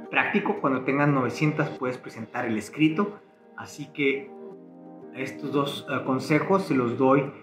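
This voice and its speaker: A man, giving earnest advice to camera as a barber instructor, pausing often with fillers and restarts. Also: medium pitch, fairly bright tone, echoey room. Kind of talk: casual explanatory talk to camera. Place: living room at home, indoors.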